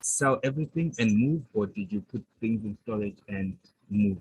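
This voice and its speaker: A man's voice: on the low side, normal speed, a little mellow, breathy edge, no room sound, rough recording. A man hosting a podcast interview, asking loose, casual questions full of fillers. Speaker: podcast host.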